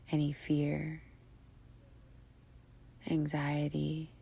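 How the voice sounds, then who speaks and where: A woman leading a guided meditation in a breathy, near-whispered voice, soothing and hushed, with long pauses between phrases. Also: low-pitched.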